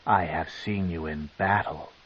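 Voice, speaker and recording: A man voicing a cold, scheming female dark elf matriarch, with a slow, commanding and sneering delivery. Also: sits low, fairly even intonation, slightly rough, pitched below the performer's own voice, full character voice. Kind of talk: audiobook character reading. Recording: dull lo-fi tape.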